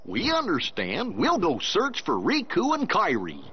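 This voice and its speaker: A man voicing a goofy, good-natured cartoon dog in a video game, with a husky, hiccupy drawl. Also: high voice, huge pitch swings, measured pace, dull audio, a little hiss or hum, full character voice. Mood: earnest, good-natured.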